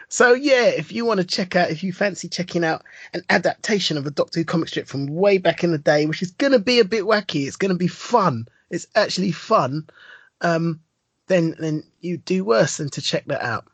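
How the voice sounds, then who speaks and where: High, husky man chatting. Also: expressive, moderate pace, fairly bright tone, no room sound. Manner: enthusiastic, rambling storyteller. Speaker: podcast co-host. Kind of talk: casual chat.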